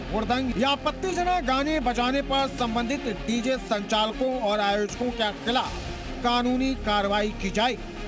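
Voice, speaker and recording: Guy doing tv news voiceover, really high voice, music playing loud behind him. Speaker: news reporter voice-over. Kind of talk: scripted TV news narration. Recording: loud music bed under voice.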